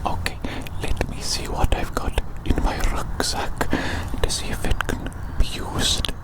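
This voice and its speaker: This is an ASMR roleplayer who speaks entirely in a hushed, breathy whisper. The delivery is gentle and repeats short phrases, addressing the listener like a little creature in a playful roleplay. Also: measured pace.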